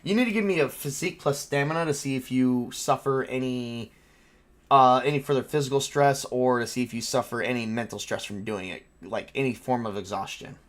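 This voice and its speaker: A man's voice: mid-range voice, brisk. Casual man running a tabletop game. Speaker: game master.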